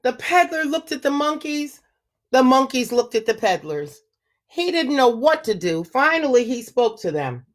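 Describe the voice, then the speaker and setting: A woman reading a picture book aloud to children, slow and expressive, pausing to ask them questions. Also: high-pitched. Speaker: children's story reader. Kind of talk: read-aloud storytelling for children.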